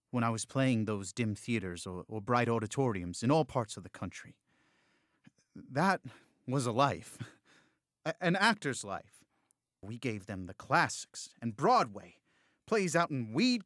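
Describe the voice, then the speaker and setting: An actor playing a weary, nervous stage actor who talks hesitantly and stammers. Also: male voice, mid-range voice, fairly bright tone, no room sound, clean studio sound. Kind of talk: audio drama acting.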